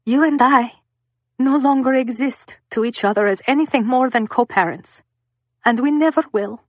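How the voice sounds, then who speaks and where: Tearful mother cutting ties, steady and final, read by a woman, dry close sound. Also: high voice, moderate pace, no room sound, dull audio, voice pushed up. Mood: tearful resolve. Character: heartbroken mother ending her marriage.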